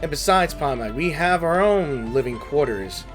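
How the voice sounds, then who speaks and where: A man giving casual gaming commentary, musing to viewers in a halting, conversational way. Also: fairly high pitch, lively intonation, music in the background. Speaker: gaming streamer.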